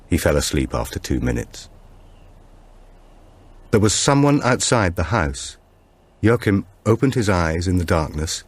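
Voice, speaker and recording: A man reading a thriller audiobook as narrator, in a measured, suspenseful and dramatic storytelling manner. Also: sits low, husky.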